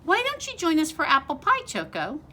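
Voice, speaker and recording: A woman reading a storybook as a kindly, motherly bear who speaks in a gentle, soothing way. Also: high voice, huge pitch swings, fairly quick, voice pushed up, heavily acted. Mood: welcoming.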